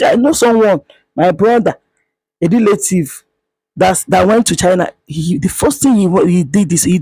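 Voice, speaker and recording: A woman with a Nigerian accent delivers a heated monologue to her viewers, hammering her points home through repetition. Her voice is breathy and grainy, and she holds it low and quiet because she is outside. The recording is close and dry, with little room sound. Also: dry recording, poor audio. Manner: emphatic, repetitive, scolding; speaking quietly. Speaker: vlogger. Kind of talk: impassioned monologue to camera. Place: outdoors.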